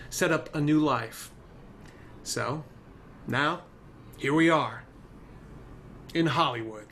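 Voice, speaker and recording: A man playing a cocky adventurer recounting his survival story in a screenplay table read, wry and measured. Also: medium pitch, fairly bright tone, a little hiss or hum, natural voice. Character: cocky male crash-survivor raconteur telling his adventures.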